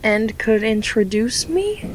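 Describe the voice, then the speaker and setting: A woman's voice: a little high, very animated, slow pace, a little hiss or hum, poor audio. An actress playing an earnest, hesitant young woman who works for a charity. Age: young adult.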